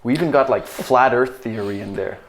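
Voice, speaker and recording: A man's voice: medium pitch, a little fast. A Danish man speaking English as a second language, a guest on a podcast, talking in a reflective way, searching for words and laughing easily. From Denmark. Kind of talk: casual conversation.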